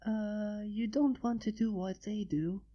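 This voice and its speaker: A woman's voice: mid-range voice, fairly even intonation, a little slow, muffled recording, lightly acted. A woman voicing a shy little dragon girl. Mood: timid. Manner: hesitant and soft-spoken. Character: shy young female dragon child.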